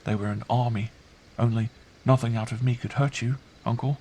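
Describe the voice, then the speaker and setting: A man's voice: medium pitch, fairly even intonation, moderate pace, a little hiss or hum, pitched above the performer's own voice, close to an everyday voice. A man voicing a bewildered, earnest young girl in an audiobook, trusting and fragile, speaking simply. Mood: tender, earnest. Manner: hushed, simple, earnest delivery. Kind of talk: audiobook character voice.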